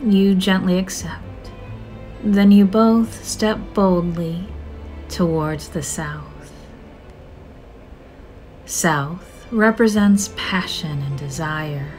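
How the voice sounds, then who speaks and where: Slow, low-pitched woman guiding meditation. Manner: soothing, measured, gentle with drawn-out phrases. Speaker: meditation guide. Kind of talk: scripted guided meditation narration. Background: soft music.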